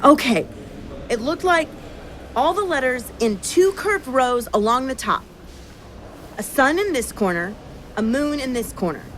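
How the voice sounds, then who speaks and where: A woman's voice: high voice, lively intonation, a little slow, pauses often, fairly bright tone, slight background noise. A woman acting in an audio drama as an anxious, apologetic royal who gives orders in a hesitant, earnest way. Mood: flustered.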